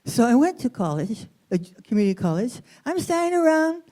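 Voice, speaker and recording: A woman's voice: fairly high pitch, sing-song, a little fast, dark tone. A woman storyteller from East Los Angeles, with an accent from there, telling a personal story expressively, weaving in Spanish words and translating them.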